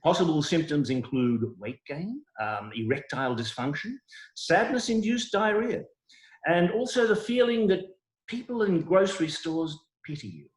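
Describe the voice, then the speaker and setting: A man's voice: a little high, expressive, moderate pace, echoey room, close to an everyday voice, poor audio. A man playing a smug, shameless doctor with a grave, hesitant clinical manner in a comic play reading over a video call. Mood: smug, patronizing. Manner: hesitant, mock-solemn clinical delivery.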